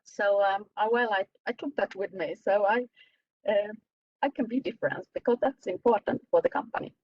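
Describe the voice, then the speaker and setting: A middle-aged Swedish woman speaking English as a second language on a panel, pausing often to search for words. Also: mid-range voice, dry recording. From Sweden. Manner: halting, searching for words with many "uh"s. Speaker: panelist, company CEO. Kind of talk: panel discussion. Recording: dull, dry lo-fi sound.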